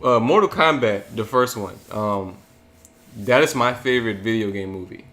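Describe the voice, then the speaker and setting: A man's voice: on the low side, normal speed, fairly bright tone. Earnest young man, lots of ums. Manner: earnest, full of fillers like um. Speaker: co-host. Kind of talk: casual group chat.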